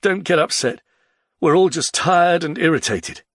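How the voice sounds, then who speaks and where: Man playing weary, bitter nobleman, husky. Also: a little high, measured pace, a bit bright, dry recording, clean studio sound, pitched above the performer's own voice. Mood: tired.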